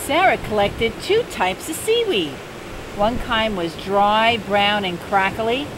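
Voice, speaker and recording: Older woman reading a bedtime story outdoors. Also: medium pitch. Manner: gentle, unhurried bedtime-story delivery. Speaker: storybook reader. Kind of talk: reading a picture book aloud. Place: outdoors by the sea. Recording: steady outdoor noise.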